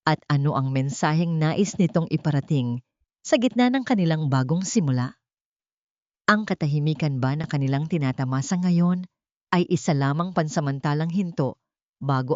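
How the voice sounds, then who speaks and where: A woman's voice: low voice, animated, normal speed, dark tone, no room sound, dull audio. A woman reading a dramatic story as a scripted audiobook narration.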